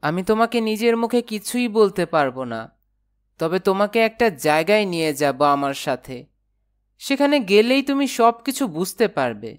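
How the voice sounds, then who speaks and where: A man's voice: sits high, big pitch swings, normal speed, clear, resonant tone. A man playing a secretive dead husband, a sombre ghost who speaks solemnly and evasively. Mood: grave.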